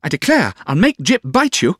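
A man's voice: on the high side, huge pitch swings, moderate pace, a bit bright, no echo, clean studio sound, voice pushed up, exaggerated voice. A man voicing a childish, pampered young woman in a storybook reading, petulant and girlish, playfully scolding. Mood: pouting.

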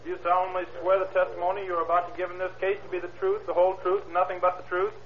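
A brisk court clerk in an old radio drama, high and quick. He recites formally by rote in a flat official tone, on a muffled recording. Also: a little hiss or hum. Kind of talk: 1930s radio acting.

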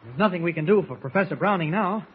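An actor playing a calm, shrewd reporter hero in 1940s radio serial style, confident and mentor-like as he reasons. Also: on the high side, fast, phone-quality sound, slight background noise, close to an everyday voice. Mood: urgent. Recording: thin, band-limited old radio recording.

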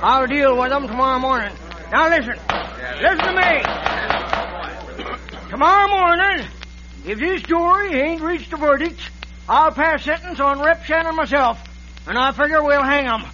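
Crotchety frontier judge, unusually high voice, impatient and bullying, hesitant folksy phrasing, noisy old radio drama. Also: male voice, expressive, moderate pace, long pauses. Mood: irate, threatening. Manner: hemming folksy drawl, snaps into shouted orders. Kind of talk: old-time radio western acting. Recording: noisy, muffled vintage radio recording.

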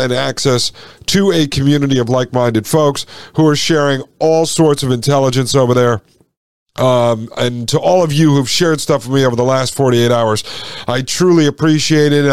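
A man's voice: mid-range voice, smooth, no echo. A man hosting his podcast, a little stuffed up from a slight cold, chatting directly to his listeners. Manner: chatty, addresses listeners as folks. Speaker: podcast host. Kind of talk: casual podcast monologue.

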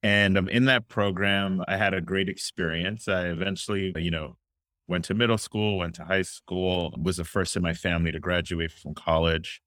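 A very low-pitched young man talks through his career in an earnest, easygoing interview manner. The sound is close and dry, with no room around it. Now and then he pauses with a filler before going on. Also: fairly even intonation, a bit bright, dry recording. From Miami, Florida. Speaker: guest, childcare company founder. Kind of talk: conversational interview answers.

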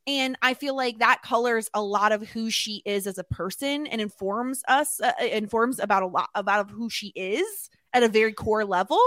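A woman's voice: on the high side, moderate pace, hardly any pauses, a bit bright, no room sound, clean studio sound. A woman co-hosting a podcast, recapping a TV episode in casual chat and thinking aloud with lots of "like"s and restarts. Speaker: podcast co-host.

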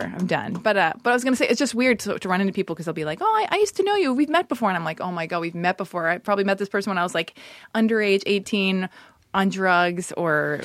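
A woman in her thirties from Los Angeles, hosting a podcast in casual, animated chat, laughing and exclaiming often. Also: lowish voice, no echo, clean studio sound. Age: 30s. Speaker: podcast host.

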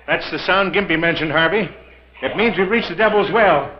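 A man's voice: fairly high pitch, moderate pace, lightly acted. Man acting an eager radio adventurer, cavernous echo. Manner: hearty, excitable, theatrical. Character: eager, trusting husband on a treasure hunt. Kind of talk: 1940s radio thriller acting. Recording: dull vintage 1940s radio, long reverb.

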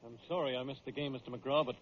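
A man's voice: medium pitch, steady tone, fast, close to an everyday voice. A man with an American accent playing an earnest, respectful ballplayer who speaks politely in a radio drama. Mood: earnest apology. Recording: dull vintage radio recording.

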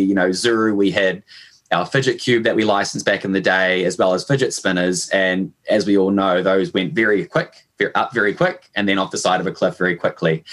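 Low-voiced New Zealand man, relaxed. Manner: relaxed, hedging with fillers. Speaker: podcast guest, e-commerce manager. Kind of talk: casual interview answers.

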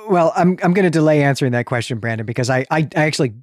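A man co-hosting a podcast, making measured, analytical arguments in a conversational literary discussion. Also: on the high side, expressive, darkish tone, dry recording. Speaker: podcast co-host.

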